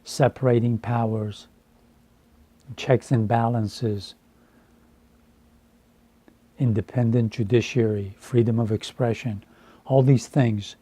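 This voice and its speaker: A man in his fifties from Iran, a Farsi speaker, speaking deliberately and earnestly to camera with frequent hesitations. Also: medium pitch, steady tone, dark tone. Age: 50s. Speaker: translator. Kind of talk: earnest personal address to camera.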